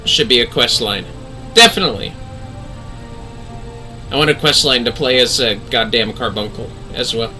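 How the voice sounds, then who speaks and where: A man's voice: medium pitch, moderate pace, pauses often, bright, forceful tone, faint music behind. A man giving casual, sweary and jokey livestream commentary on a game, often exclaiming. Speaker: livestream host.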